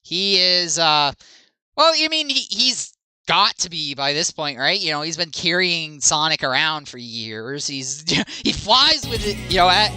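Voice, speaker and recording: A man's voice: on the high side, sing-song, a little slow, pushed and bright, clear, resonant tone, dry recording. A man giving casual, rambling commentary while streaming a game, joking about himself and laughing often. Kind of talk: casual live gameplay commentary. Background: video game music.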